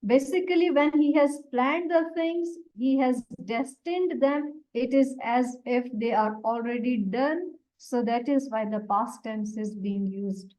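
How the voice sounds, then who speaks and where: A woman whose first language is Urdu, teaching a Quran lesson and explaining Arabic words one by one in a deliberate way. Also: a little high, a little mellow. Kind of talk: slow explanatory religious lesson. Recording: dull lo-fi recording.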